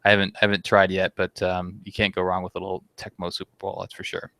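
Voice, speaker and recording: A man's voice: sits low, completely flat delivery, fast, sharp tone, no room sound. A man in his thirties co-hosting a podcast, chatting casually and leading the talk with questions. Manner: leads the talk, asks questions. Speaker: podcast co-host. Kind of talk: casual chat.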